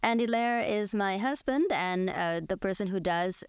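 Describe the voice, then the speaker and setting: A woman's voice: medium pitch, moderate pace, clean tone, no room sound. A woman hosting a show, talking in a reflective, conversational way with her guest. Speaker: podcast host. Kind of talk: conversational interview discussion.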